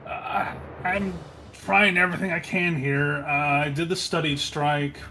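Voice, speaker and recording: A man playing a tabletop game, chatting casually in an animated, self-deprecating way with some swearing. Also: on the high side, a little slow, low-quality recording. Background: background music.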